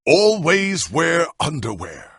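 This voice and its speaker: An actor playing a proud warrior hero in a video game, with a pompous, theatrical, commanding way of speaking. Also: male voice, on the high side, huge pitch swings, takes it slowly, a bit bright, breathy edge, dry recording, studio quality, heavily acted. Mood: grand, rousing. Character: proud, grandiose warrior hero, an adult man. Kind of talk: dramatic game dialogue and heroic speeches.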